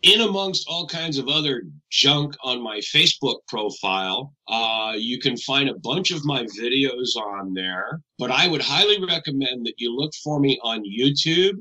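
This is a man from Canada chatting as a guest on a talk radio show. He rambles in a loose, conversational way and keeps correcting himself. His voice is very bright and forceful, at a middling pitch. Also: muffled recording. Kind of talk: casual radio interview.

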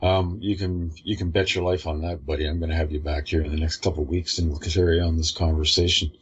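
A man's voice: sits low, little pitch movement, fast, husky, muffled recording. A male radio host losing his voice, straining as he talks, friendly and upbeat with his guest. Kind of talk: casual radio interview.

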